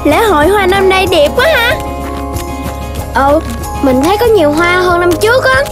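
A woman's voice: very high-pitched, expressive, over loud music. A woman with a southern Vietnamese accent voicing an eager, childlike Dalmatian puppy boy in a children's cartoon. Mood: cheerful. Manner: slow, childlike, exclamatory.

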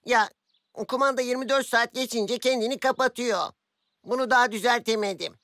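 A woman's voice: on the high side, steady tone, brisk, a bit bright, no echo, clean studio sound, lightly acted. A woman voicing a worried tinkering inventor in a dubbed cartoon, explaining a broken remote.

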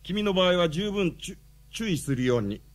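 A man's voice: sits high, lively intonation, normal speed. An actor playing a stern but patient revolutionary chairman, briefing his team formally and with authority in a radio drama. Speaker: leader giving a briefing.

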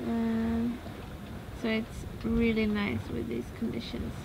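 A young woman on her watch at the helm of a sailboat, talking softly and tiredly to a camera held close. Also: fairly high pitch, monotone, gravelly. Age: young adult. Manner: soft, tired and unhurried. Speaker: sailor and vlogger. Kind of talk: casual vlog chat. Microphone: handheld camera close up. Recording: loud wind noise outdoors.

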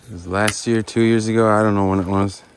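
A man's voice: lowish voice, fast, a little hiss or hum. A young man filming himself fishing at a river, chatting casually to a body-worn camera. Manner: casual, talks to the camera while fishing, gets excited at fish. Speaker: fishing vlogger. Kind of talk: casual outdoor vlog narration. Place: outdoors by a river. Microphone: action camera worn on the body.

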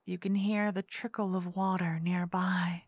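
A woman narrating a scripted second-person fantasy story in ASMR style, hushed, measured and suspenseful. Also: lowish voice, flat delivery, husky, no echo.

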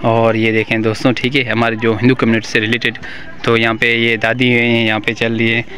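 A man's voice: medium pitch, completely flat delivery, fairly quick, bright, forceful tone. A young man vlogging in a village, talking into a handheld phone and addressing his viewers over and over. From Pakistan. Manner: repetitive, pleading, keeps calling out "guys" and "friends". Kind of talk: walking tour vlog with interviews. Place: outdoors in a village among huts. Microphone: handheld phone camera.

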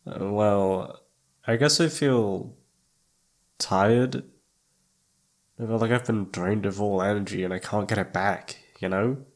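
A man's voice: fairly low pitch, fairly even intonation, slow pace, pauses often. A man playing a shy, flustered young incubus roommate in an ASMR roleplay, hesitant and awkward, often trailing off. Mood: weary, pensive. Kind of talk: ASMR roleplay audio drama.

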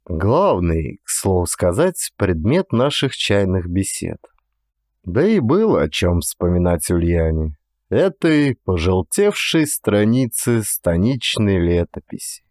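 Male narrator, literary audiobook. Measured storytelling, expressive intonation, dry studio-close sound. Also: deep voice, no echo. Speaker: actor reading an audiobook.

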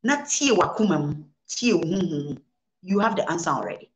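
Earnest Ghanaian woman preaching, lively pitch. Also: brisk, roomy sound, a little hiss or hum, poor audio. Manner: earnest, repeats phrases, mixes English and Twi. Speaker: reverend guest. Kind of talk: preaching interview answers. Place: studio set, indoors.

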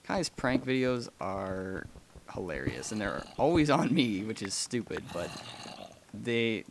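Young man, gaming commentary, rambling, lots of ums, low-ish voice, noisy home mic with clicks. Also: sing-song, slow pace, vocal fry. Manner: rambling and chatty, many ums, gasps and laughs while playing. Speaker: gaming channel host. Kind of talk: casual let's play commentary.

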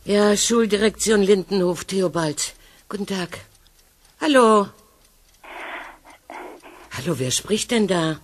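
An actress playing a composed, courteous boarding-school headmistress in a children's radio play, formal and measured, hesitant when puzzled. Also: female voice, mid-range voice, expressive, slight background noise. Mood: formal, alert. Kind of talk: children's audio drama acting.

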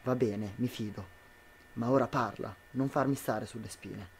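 A man's voice: medium pitch, measured pace, a little hiss or hum, close to an everyday voice. A man playing an anxious, suspicious citizen of a dystopian future in an amateur radio drama, dramatic and questioning. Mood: wary, astonished.

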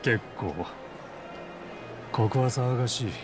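A man acting a composed crime boss in a Japanese game dub, courteous and formal in measured, condescending phrases. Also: medium pitch, music in the background, natural voice. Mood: polite, firm.

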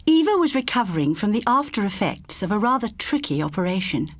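A woman narrating a scripted short story as an audiobook reader, expressive and measured. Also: mid-range voice, dry recording.

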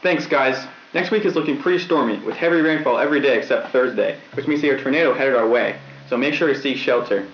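A young man plays an earnest student weatherman with a medium-pitched voice. He gives a straight-faced forecast. The sound is dull and very roomy, with faint music. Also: fast talker, natural voice. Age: young adult. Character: earnest, matter-of-fact young male school weatherman. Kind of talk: scripted weather report. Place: indoor studio set.

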